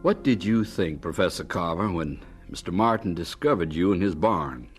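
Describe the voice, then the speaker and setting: A man playing an attentive, sympathetic interviewer in a scripted radio drama, with a husky voice. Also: low-pitched, lively intonation, moderate pace, over music. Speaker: interviewer narrator.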